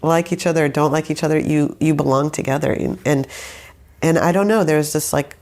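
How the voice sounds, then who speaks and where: A young woman with a low, gravelly voice tells her story in an easy, unscripted way. She wanders through her thoughts, circling back with "like" and "I don't know" as she goes. Her tone stays relaxed and conversational. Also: a little fast. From Saudi Arabia. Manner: rambling, hesitant, many fillers and restarts. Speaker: guest, musician. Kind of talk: casual interview conversation.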